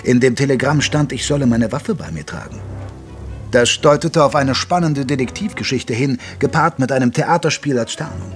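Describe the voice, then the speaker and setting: An actor playing a retired old army doctor who narrates a radio play in a measured, genteel, reminiscing way. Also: male voice, medium pitch, faint music behind, close to an everyday voice. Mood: reflective. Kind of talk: scripted first-person radio play narration in German.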